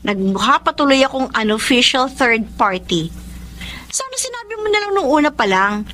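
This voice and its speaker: A woman's voice: sits high, sing-song, moderate pace, bright, forceful tone, exaggerated voice. A woman playing a candid, heartbroken young Filipino woman in a radio drama, speaking emotively and conversationally with a Filipino accent. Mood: hurt, earnest. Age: young adult. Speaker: drama narrator and lead. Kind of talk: radio drama acting and letter narration.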